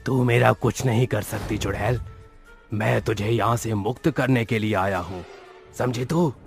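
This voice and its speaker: A man voicing a calm, confident holy man in a horror story, solemn and commanding. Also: fairly low pitch, a little slow, creaky, faint music behind. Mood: defiant. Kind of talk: Hindi horror storybook voice acting.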